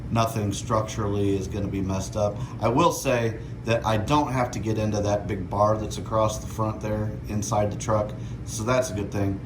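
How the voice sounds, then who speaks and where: Low-voiced man, casual DIY vlog, noisy. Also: fairly even intonation. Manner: self-deprecating, crude, halting thinking aloud. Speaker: host of a DIY truck modification vlog. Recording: clear background noise and ambience.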